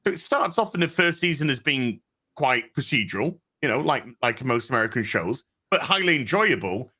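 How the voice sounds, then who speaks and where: A man's voice: mid-range voice, sing-song, a little fast, bright, forceful tone. A man hosting a casual live chat, reading out viewer donations and rambling through his points with many restarts. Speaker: host.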